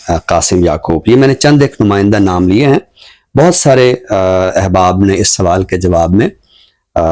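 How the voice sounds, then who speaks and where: A man with a fairly low voice and a Pakistani accent speaks as a literary commentator. His delivery is measured and formal, with thoughtful pauses inside sentences. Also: darkish tone. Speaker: literary talk show guest and book reviewer. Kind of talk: unscripted book commentary and poetry recitation.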